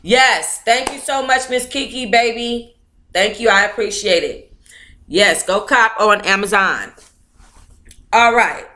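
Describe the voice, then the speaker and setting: A woman's voice: medium pitch, slow pace, bright, forceful tone. A woman hosting an online book club, reading aloud and commenting in a blunt, informal, opinionated way.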